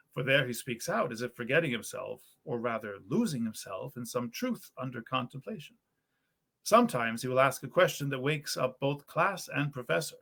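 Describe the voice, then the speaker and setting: A man voicing an earnest, good-natured young male student from a storybook, with animated, eloquent old-fashioned speech. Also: medium pitch, gravelly. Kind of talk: storybook character reading.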